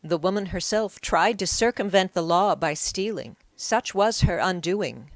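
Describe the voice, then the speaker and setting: Lowish, expressive woman narrating an audiobook. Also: measured pace, no room sound. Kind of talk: scripted story narration.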